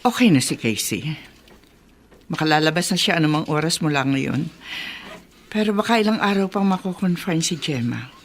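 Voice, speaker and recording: An actress playing a kindly, concerned aunt in a Filipino radio drama, with a Filipino accent. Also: female voice, low-pitched, lively intonation, moderate pace, long pauses. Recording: music under the voice.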